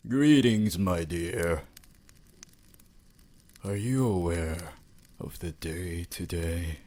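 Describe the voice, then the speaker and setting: A man's voice: low voice, very slow, darkish tone, exaggerated voice. A man voicing an ancient, courtly vampire lord in an ASMR roleplay, formal, theatrical and romantically devoted. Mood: courtly, affectionate.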